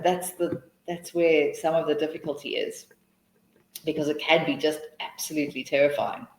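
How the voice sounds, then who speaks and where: A South African woman with a slightly low voice, tutoring in a live webinar Q&A. She talks in an encouraging, chatty way, often restarting her sentences. Her voice rings in a roomy, echoey space. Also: darkish tone. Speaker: webinar tutor answering questions.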